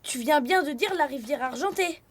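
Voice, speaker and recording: A woman voicing a young animal character in a children's cartoon, eager and excitable. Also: high-pitched, normal speed. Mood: excited. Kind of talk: cartoon voice acting.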